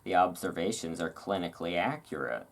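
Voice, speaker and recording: A host reading aloud in a relaxed ASMR style, with casual, musing asides. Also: deep voice, fairly quick, gravelly. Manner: rambling, musing asides between read passages.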